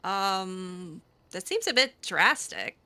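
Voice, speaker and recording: A woman's voice: medium pitch, big pitch swings, takes it slowly, vocal fry, sharp tone. A woman improvising in-character roleplay, hesitant and full of ums. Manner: hesitant, with frequent ums and restarts. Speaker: roleplaying game player. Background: faint game music.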